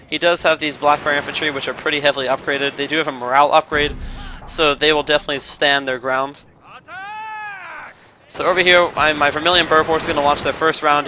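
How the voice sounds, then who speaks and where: A man's voice: fairly high pitch, sharp tone. A man giving upbeat play-by-play commentary on a strategy game replay, his voice still a little scratchy from being sick. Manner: upbeat, brisk play-by-play explaining. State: recovering from illness, voice a bit scratchy. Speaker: gaming commentator.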